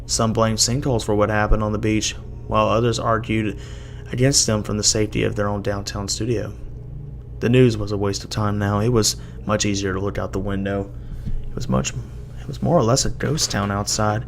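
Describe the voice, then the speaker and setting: A man with a gravelly voice giving a halting, dramatic first-person reading of a horror story as an amateur narrator. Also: on the low side, flat delivery. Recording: noisy amateur home recording.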